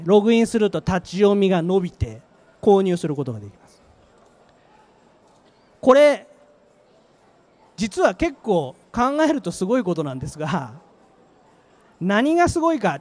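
Animated, high-pitched man presenting. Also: normal speed, pauses often. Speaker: company manager presenting a product. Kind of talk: live trade-show presentation with slides. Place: presentation stage at an exhibition venue. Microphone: handheld microphone.

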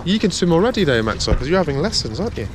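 A man's voice: fairly high pitch, big pitch swings, rapid-fire. A man teaching his young children to sail a small dinghy, patient and encouraging, full of praise. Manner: gentle, encouraging, lots of praise. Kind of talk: patient coaching and casual chat. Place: small sailing dinghy on a lake, outdoors. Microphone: boat-mounted action camera. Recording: outdoor wind and water noise.

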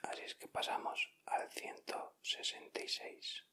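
A young man with a Castilian Spanish accent hosts in a whispered ASMR style. His whisper is crisp and bright, and he takes his time, speaking very slowly and directly to his viewers. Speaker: host of an ASMR channel.

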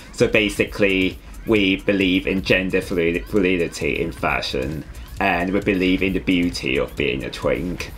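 A man's voice: sits low, fairly bright tone. A young man from Hong Kong with a Hong Kong accent, chatting casually as a designer being interviewed in a small studio. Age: young adult. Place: small studio room with clothing rails, indoors.

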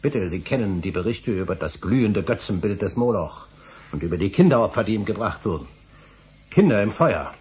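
An actor playing an earnest, obsessive history scholar in a radio drama, speaking formally and insistently, with pleading emphasis. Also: male voice, lowish voice, animated, brisk, darkish tone, husky. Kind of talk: German radio drama acting.

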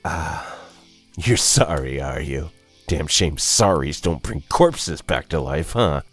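A man's voice: very deep voice, lively intonation, slow, husky, soft background music, exaggerated voice. A man voicing the rotting corpse of a dead brother, sneering and accusing in a horror story. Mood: bitter, accusing. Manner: sneering, mocking.

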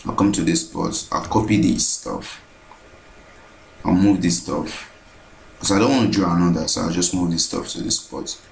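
A man narrating a screen-recorded software tutorial, thinking aloud as he repeats each step. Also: deep voice, fairly even intonation, normal speed, vocal fry, roomy sound, slight background noise, poor audio.

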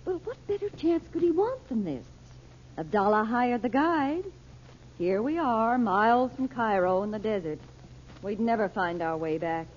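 A woman's voice: on the high side, lively intonation, moderate pace, darkish tone, lightly acted. An actress playing an anxious, doubting middle-aged mother in an old radio drama. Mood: worried, doubting. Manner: fretful, argumentative. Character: anxious, doubting American mother on a desert journey. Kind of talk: 1930s-40s radio acting. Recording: muffled, noisy old radio recording.

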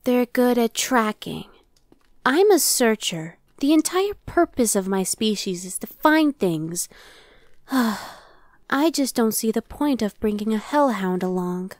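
A woman's voice: on the high side, sing-song, takes it slowly, a little mellow, no room sound. A woman voicing an impatient, sardonic female demon scout in an ASMR roleplay, talking in a conversational, dismissive way. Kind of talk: ASMR roleplay, one-sided dialogue.

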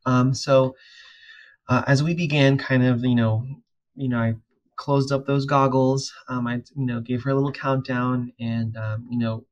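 A man's voice: medium pitch, moderate pace, highs cut off. A young man whose first language is Spanish, an audiologist talking casually on a video call, with lots of filler words. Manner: relaxed, many fillers and restarts. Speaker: audiologist and PhD student. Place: room at home.

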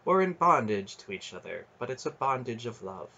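A man voicing a gentle female dryad queen with soft, kind, measured speech. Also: mid-range voice, big pitch swings, gravelly, lo-fi sound, exaggerated voice. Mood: fond, calm.

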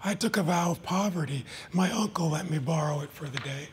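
A man voicing Death as a lonely, gentle male figure in a storybook read aloud, soft-spoken and wondering. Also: on the high side, brisk, a little hiss or hum, close to an everyday voice. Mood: friendly.

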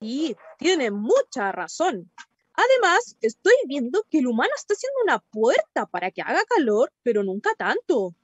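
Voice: high voice, wildly swooping pitch, moderate pace, slightly rough, no echo, full character voice. A performer voicing an agreeable tomato in an improvised radio play. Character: tomato agreeing and reporting on the human. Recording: dry, dull video call audio.

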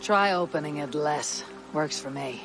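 A woman's voice: sits low, measured pace, over music. An actress playing a stoic, blunt Viking warrior woman in a game cutscene, speaking in a terse, dry, matter-of-fact way.

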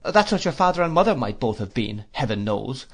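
A man voicing a brisk, strong-willed elderly aunt in an audiobook, speaking decisively and with emphasis. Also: medium pitch, big pitch swings. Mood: emphatic. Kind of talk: audiobook character reading.

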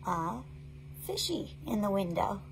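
A woman in her thirties presenting a storytime for young children in an animated, sing-song, encouraging way. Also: mid-range voice, a little slow, a little hiss or hum, poor audio. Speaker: children's librarian hosting an online storytime. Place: small indoor play tent set up for recording.

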